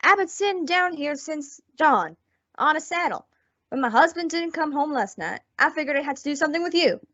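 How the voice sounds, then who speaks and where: A woman's voice: high-pitched, expressive, a little fast, sharp tone. A woman playing a bitter, vengeful rancher's wife in an old-time radio western, blunt and accusatory in folksy frontier speech. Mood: impatient, demanding. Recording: dull lo-fi radio drama recording.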